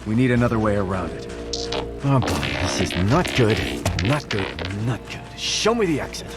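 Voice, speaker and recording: An actor playing an uneasy, wisecracking mutant scavenger in a video game, with colloquial, grumbling, questioning delivery. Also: male voice, medium pitch, big pitch swings, normal speed, close to an everyday voice. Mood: nervous. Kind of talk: video game character acting. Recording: loud music under the voice.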